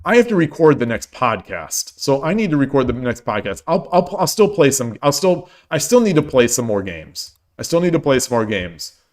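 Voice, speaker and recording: A man streaming an online board game, casually thinking aloud in a low-key way, often repeating himself as he plays. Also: big pitch swings, normal speed. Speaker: streamer playing online shogi. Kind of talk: casual live-stream commentary.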